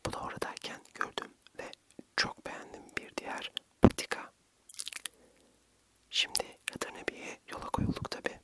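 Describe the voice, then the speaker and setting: Young male ASMR vlogger, fully whispered narration, gentle and breathy, close-miked. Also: moderate pace, pauses often. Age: young adult.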